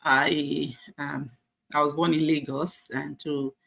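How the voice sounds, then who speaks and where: A woman's voice: sits low, flat delivery, slow pace, creaky, a bit bright. A Nigerian woman in her forties sharing her life journey in a casual, faith-filled, encouraging way, with frequent fillers. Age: 40s. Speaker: nurse sharing her story. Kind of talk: casual personal testimony.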